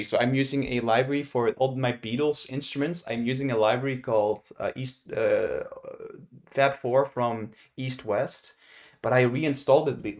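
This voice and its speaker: A young man from the Netherlands talks through his music software on a live stream, in a mid-pitched everyday voice. He rambles with plenty of fillers and restarts, now and then jumping up in loud surprise. It sounds like a desk microphone in a small home studio. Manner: rambling, many fillers and restarts, sudden excited outbursts. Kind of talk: casual live-stream talk while working.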